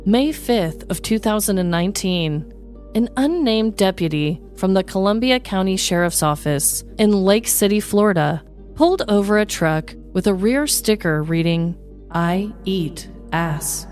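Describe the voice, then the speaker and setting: A woman's voice: mid-range voice, lively intonation, moderate pace, a little mellow, soft background music. A woman podcast host reading a scripted, comedic true-crime story as narrator.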